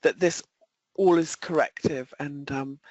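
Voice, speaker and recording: A woman's voice: low-pitched, measured pace, darkish tone, no room sound. A woman with a British accent talks through charts informally as an analyst. She is conversational and a little self-deprecating. The sound is very dry and somewhat muffled, like an online webinar call. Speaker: market analyst, webinar presenter.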